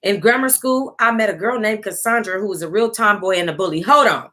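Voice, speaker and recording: An American woman hosting an online book club, reading aloud casually in an animated, salty-tongued way and breaking off to react. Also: mid-range voice, fairly quick. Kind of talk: casual livestream read-aloud with running reactions.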